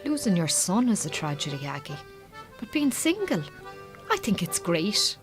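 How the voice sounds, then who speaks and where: A woman's voice: mid-range voice, sing-song, normal speed, over music, lightly acted. A woman playing a plain-spoken, supportive Irish farm wife in a radio drama, with an Irish accent and an earnest, colloquial way of talking. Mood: encouraging.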